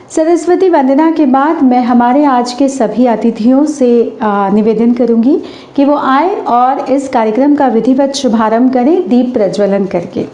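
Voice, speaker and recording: A hoarse woman hosts her channel and recites a stage anchoring script in a formal, declamatory way, slowing down for poetic couplets. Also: a little high, mellow, reverberant.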